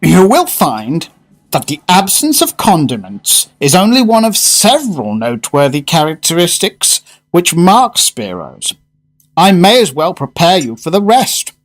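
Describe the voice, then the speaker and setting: An actor playing a fussy, fervent gourmet in a radio drama, speaking in grandiose, emphatic phrases. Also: male voice, on the high side, wildly swooping pitch, measured pace, heavily acted. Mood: earnest, lecturing. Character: fussy, fervent gourmet diner, a well-spoken man.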